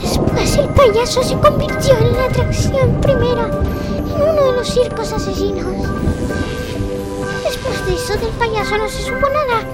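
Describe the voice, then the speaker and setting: A female student improvising a spoken horror story as narration, halting as the phrases get repeated and corrected, with loud music under the voice. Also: extremely high pitch. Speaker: student storyteller in a radio theatre workshop.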